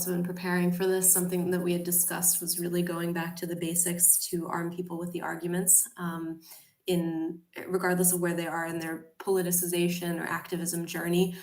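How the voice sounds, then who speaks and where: A woman interviewer speaks in a low, flat, even tone as she frames the next questions. Her voice sounds roomy and a little far off from the microphone. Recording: echoey, distant from the mic.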